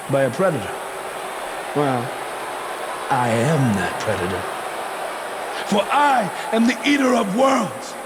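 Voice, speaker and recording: An actor playing a menacing cult-leader wrestler who preaches ominously in a slow, theatrical video game monologue. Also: male voice, high voice, noisy background.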